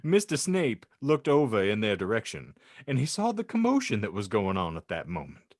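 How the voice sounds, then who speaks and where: A man hosting a comedy podcast, reading fan fiction aloud in a mock-dramatic, teasing, deliberate way. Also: on the low side, wildly swooping pitch, no echo, studio quality. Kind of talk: mock-dramatic audiobook narration with joking banter.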